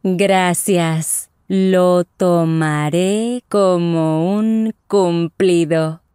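A woman voicing Isabella, a friendly young traveler in a scripted lesson dialogue, speaking with clear careful enunciation. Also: on the low side, fairly even intonation, drawn-out words, dark tone, no room sound, studio quality. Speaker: voice actor in language lesson dialogue. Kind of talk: scripted slow and normal-speed lesson dialogue.

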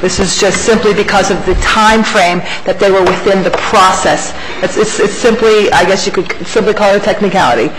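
A woman serving as mayor, chairing a council meeting in a brisk, authoritative way. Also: medium pitch. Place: council chamber, at the dais. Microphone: desk gooseneck microphone. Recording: noisy tape with hiss.

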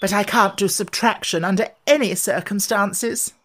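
Dithering, childlike storybook queen, voiced by a man, earnest and muddled, lifted pitch. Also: normal speed, sharp tone.

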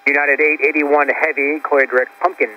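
A man's voice: fairly high pitch, little pitch movement, moderate pace. A man working as a departure controller, giving radio instructions. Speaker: departure air traffic controller. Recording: dull, faintly noisy radio.

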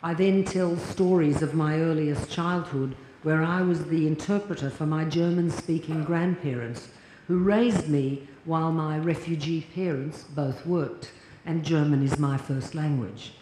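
Woman in her sixties, measured lecturer reading from notes, roomy hall, noisy capture. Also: low-pitched, fairly even intonation, mellow. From Australia. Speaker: keynote lecturer. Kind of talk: formal read lecture. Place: large lecture hall at a podium. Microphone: lapel mic and podium mic. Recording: echoey hall, audible noise.

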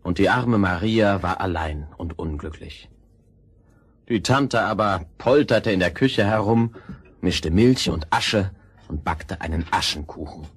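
A man narrating a scripted fairy tale in a husky voice, measured and expressive. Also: low voice.

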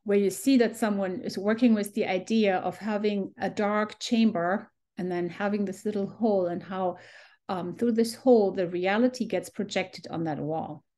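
A woman's voice: mid-range voice, steady tone, moderate pace, dark tone. A middle-aged woman from Germany with a German accent, giving a chatty, enthusiastic photography lesson on a video call with frequent ums. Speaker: photography workshop teacher. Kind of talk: live online presentation to a small group. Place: home room on a video call. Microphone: video call computer mic.

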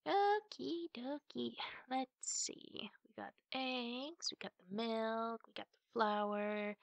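A woman playing a playful, teasing, affectionate big sister in an ASMR roleplay, chatting to the listener with drawn-out words and hushed asides. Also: on the high side, vocal fry, dry recording. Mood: playful, eager, then busy, absorbed.

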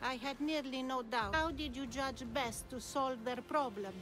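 A woman's voice: high voice, normal speed, close to an everyday voice. An actress playing a shrewd, enigmatic older woman of ancient Greece in a video game, composed and cryptic. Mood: knowing.

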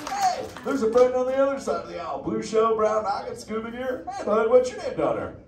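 Brief: chill surfer sea turtle, high and lively, slangy and playful, roomy distant sound with noisy crowd. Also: male voice, fairly quick, exaggerated voice. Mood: stoked. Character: laid-back surfer-dude male sea turtle. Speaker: live theme park show character. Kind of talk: interactive live audience show. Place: indoor theater with an audience. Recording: echoey theater from far off, crowd noise.

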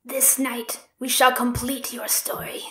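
A woman voicing an impish female yokai spirit with an eerie, breathy, whispered delivery. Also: on the high side, flat delivery, measured pace, fairly bright tone. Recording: roomy echo.

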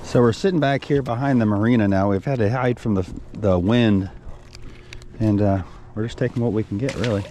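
A man's voice: lowish voice, a little fast, slight background noise. A middle-aged man chatting casually on a fishing boat out on a lake, explaining his rod and reacting to big catches. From American South. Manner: relaxed, chatty, excited over big fish. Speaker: fishing guide and rod maker. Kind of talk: casual outdoor fishing vlog. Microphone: action camera on the boat.

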